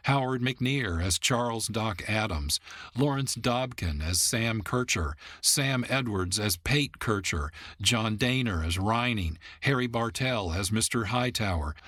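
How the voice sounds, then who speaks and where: A man giving measured, formal scripted narration as the announcer of a radio program. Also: on the low side, lively intonation, no room sound. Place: dry studio. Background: soft music.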